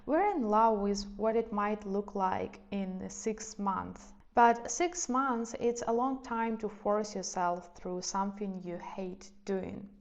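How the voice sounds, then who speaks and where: A young woman who is not a native English speaker, giving gentle, reflective advice in a casual vlog and often hedging with little fillers. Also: mid-range voice, takes it slowly, few pauses. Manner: soft, reflective, hedging with "let's say" and "I don't know". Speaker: lifestyle vlogger. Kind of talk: casual advice talk to camera.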